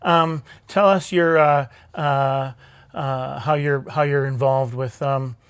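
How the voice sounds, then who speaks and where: Chatty male host, halting delivery. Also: mid-range voice, fairly even intonation, a bit bright, clean tone, no room sound. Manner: halting, many fillers and restarts, chatty. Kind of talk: live talk show interview. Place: theatre stage, live show.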